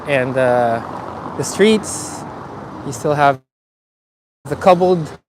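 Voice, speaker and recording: A man from the Philippines hosting a live walking tour outdoors, talking in a relaxed, chatty way with many fillers. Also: fairly high pitch, extremely slow. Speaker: vlogger. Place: city streets outdoors. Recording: faint street noise outdoors. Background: street traffic and ambience.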